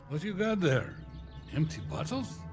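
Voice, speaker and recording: An actor playing a sensible, questioning Russian partisan, mildly reproving, in a video game cutscene. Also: male voice, sits high, very animated, a little slow, exaggerated voice. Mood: sceptical. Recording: music behind the voice.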